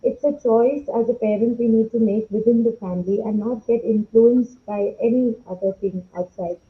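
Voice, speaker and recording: A woman's voice: on the high side, moderate pace, phone-quality sound. A woman speaking as an educator in a podcast discussion, reflective and earnest, over thin call audio. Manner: passionate, reflective. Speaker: educator, panelist.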